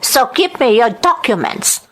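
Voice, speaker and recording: A woman's voice: high voice, sing-song, measured pace, a bit bright, voice pushed up, heavily acted. A woman playing a stern, bossy female employer in a radio drama, speaking curtly and commandingly. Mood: stern, demanding. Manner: curt, commanding, threatening.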